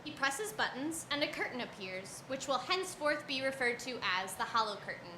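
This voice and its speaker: A woman's voice: sits high, animated, fairly quick, roomy sound, background noise. Woman, stage directions reader, scripted narration, live theater reading.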